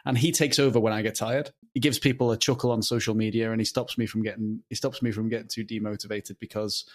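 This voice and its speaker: A man's voice: on the low side, fairly even intonation, quick pace. A man talking casually as an interview guest, emphatic and self-deprecating. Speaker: podcast guest, audio drama creator.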